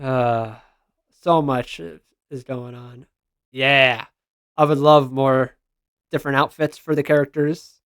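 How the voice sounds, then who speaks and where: A man's voice: mid-range voice, little pitch movement, slow, long pauses, a bit bright, clear, resonant tone, dry recording, studio quality. A man giving casual, slangy reaction commentary as a gaming content creator, responding aloud to what he reads.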